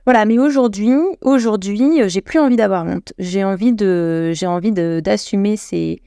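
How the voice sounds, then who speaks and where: French woman host, solo podcast chat, self-correcting, warm mellow voice. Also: mid-range voice, normal speed, gravelly, clean tone, no echo, clean studio sound. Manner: chatty, self-deprecating, many restarts and self-corrections.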